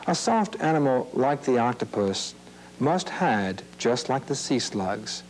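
A man reading scripted nature narration for children in a measured, explanatory, plain-worded way. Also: medium pitch, big pitch swings, poor audio. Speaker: narrator of an educational film series. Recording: hissy, humming old videotape soundtrack.

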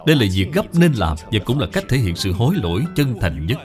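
A man's voice: mid-range voice, big pitch swings, fairly quick, natural voice. A man voicing a stern senior Buddhist brother in a cartoon, grave, instructive and reproving. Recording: music behind the voice.

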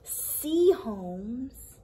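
A young woman reading a picture book aloud to small children, expressively, pausing for questions and little asides to her listeners. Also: a little high, very animated, warm tone. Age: young adult. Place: small indoor library room with a puppet theatre.

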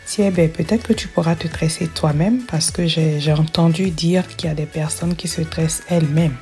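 A woman's voice: low-pitched, fairly even intonation, normal speed, dark tone, soft background music. A middle-aged woman from Africa telling a personal story as a voice-over for her own video, chatty, and often restarting her words. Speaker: hair braiding vlogger.

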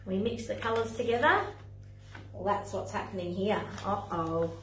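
A young woman, a playgroup facilitator, tells a picture story for toddlers and their parents in an animated, sing-song way, with lots of exclamations. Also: medium pitch, moderate pace, recorded from a distance, slight background noise. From Australia. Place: indoor playroom, in front of a screen. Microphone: far camera. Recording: echoey room, voice from afar.

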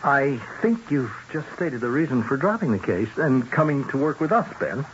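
An actor playing a persuasive, hard-nosed political fixer in a 1970s radio drama, glib and pressing. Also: male voice, mid-range voice, sing-song, moderate pace, natural voice. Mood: coaxing. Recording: dull, hissy 1970s radio broadcast.

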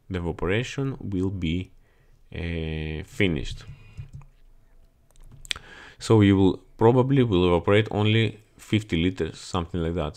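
Slow, low-voiced man touring an engine room. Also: a little mellow. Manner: non-native English, slow and repetitive, doubles words for emphasis. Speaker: marine chief engineer giving a ship tour. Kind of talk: explanatory walkthrough video. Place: ship engine room, indoors. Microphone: body-worn action camera.

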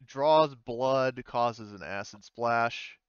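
A man's voice: mid-range voice, very slow, clear, resonant tone, no room sound, lo-fi sound. A man running an online tabletop game as game master, talking casually and hesitantly as he thinks aloud.

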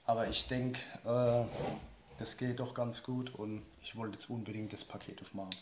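Man in his 30s, a bit hoarse from a cold, excitable unboxing host, echoey room. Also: medium pitch, normal speed. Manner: enthusiastic, chatty, laughs often. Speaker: outdoor and shooting sports vlogger. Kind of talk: casual unboxing vlog. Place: indoor room with a wooden table. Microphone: camera-facing, seated at a table. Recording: echoey room, faint noise.

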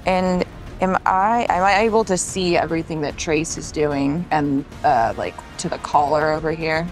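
A woman's voice: low voice, normal speed, rough recording. A woman talks casually as a player in a tabletop game, describing what her character does. Music plays behind her. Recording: music under the voice. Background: music score.

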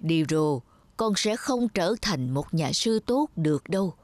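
Woman voicing a measured, stern head monk. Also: fairly low pitch, expressive, a little mellow, dry recording. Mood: disappointed, forgiving. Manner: admonishing, measured. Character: stern but patient male head monk, teacher. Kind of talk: narrated cartoon fairy tale. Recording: music behind the voice.

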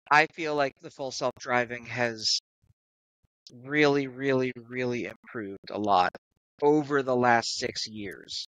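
Bright-voiced man thinking aloud. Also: mid-range voice, no room sound, poor audio. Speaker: podcast co-host. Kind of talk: casual tech podcast discussion. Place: remote call.

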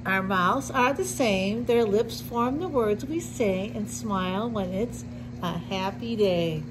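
A middle-aged woman reading a picture book aloud to young children, with deliberate simple phrasing and little asides. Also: medium pitch, background noise. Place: indoor classroom with sink and counter.

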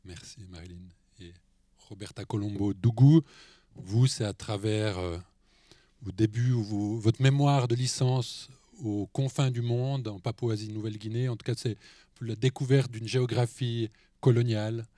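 A man's voice: lowish voice, fairly even intonation, moderate pace, mellow, no echo, clean studio sound. An older man moderating a festival round table with brief, formal introductions. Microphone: handheld mic.

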